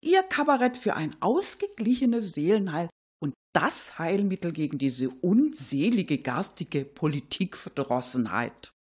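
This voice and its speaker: A woman hosting a satirical cabaret radio show, speaking with playful irony and a husky voice. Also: on the low side, unhurried. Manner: playfully ironic, animated.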